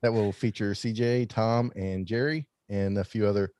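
A man's voice: on the low side, fairly even intonation, moderate pace, darkish tone, dry recording, studio quality. A man casually plugging his show as a podcast host.

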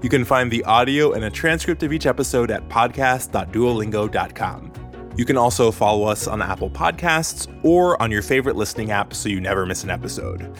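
A man hosting a podcast, reading a scripted story in a measured, clear way. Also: mid-range voice, soft background music. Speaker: podcast host. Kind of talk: scripted narration.

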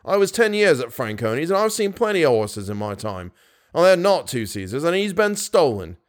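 A man's voice: on the high side, very animated, moderate pace, voice pushed up. Man playing an irritable, stubborn riding master. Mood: dry. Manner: dry, insistent, emphatic.

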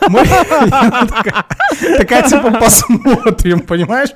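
A man's voice: extremely high pitch, lively intonation, unhurried, noisy background. A middle-aged man co-hosting a casual podcast chat, joking around and swearing freely. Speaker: podcast co-host.

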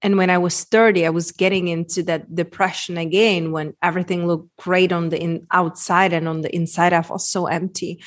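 A woman's voice: fairly low pitch, flat delivery, moderate pace, hardly any pauses. A woman in her forties with a Czech accent, earnestly telling her personal story as a podcast guest, repeating phrases for emphasis. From Czech Republic. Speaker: podcast guest, women's transformation coach.

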